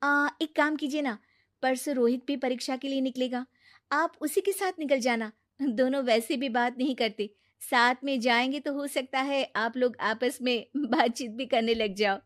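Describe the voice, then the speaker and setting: A woman voicing a concerned young wife who coaxes and gently scolds in a cartoon moral story. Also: high-pitched, fairly even intonation, normal speed, lightly acted. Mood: coaxing.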